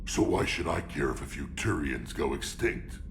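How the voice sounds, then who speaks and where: An actor playing a proud, hulking male alien warlord in a video game, blunt and defiant, with a gravelly growl. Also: a bass voice, animated, normal speed, full character voice.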